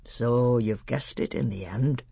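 A narrator acting a grave old half-dwarf tutor, husky. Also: medium pitch, dark tone, no room sound. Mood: solemn. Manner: grave, deliberate, heartfelt. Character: old, small, fat, bearded half-dwarf tutor, solemn.

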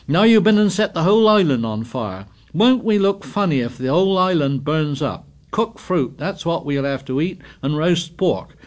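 A man's voice: fairly high pitch, lively intonation, a little slow, pitched above the performer's own voice. A man voicing an indignant, fussy schoolboy who scolds breathlessly in dialect grammar.

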